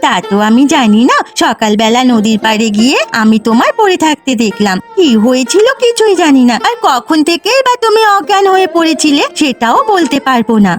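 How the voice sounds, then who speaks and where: Woman voicing a high, kind young village girl. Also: animated, fairly quick, few pauses, faint music behind. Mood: earnest explaining. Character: kind, helpful village girl caring for a stranger. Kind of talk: Bengali cartoon voice acting.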